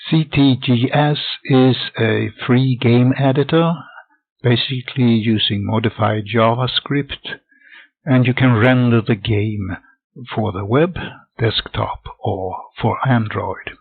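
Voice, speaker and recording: An older man talks slowly and methodically through a software tutorial, his voice gravelly and husky. The recording is dry and tinny, like a phone line. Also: mid-range voice, fairly even intonation, no room sound. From Sweden. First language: Swedish. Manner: methodical, plain step-by-step explaining. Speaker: hobby game developer.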